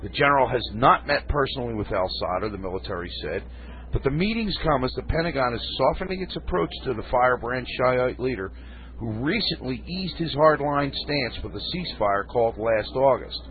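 A man's voice: mid-range voice, expressive, fairly quick, a little hiss or hum. A man hosting a radio talk show, reading news stories and adding folksy, rambling comments while losing his voice. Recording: phone-like lo-fi radio.

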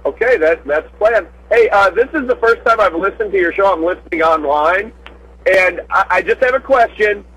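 A man's voice: high voice, animated, moderate pace, fairly bright tone, breathy edge, poor audio. A man calling a radio show with a car question, friendly and talkative. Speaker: caller. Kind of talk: casual call-in question.

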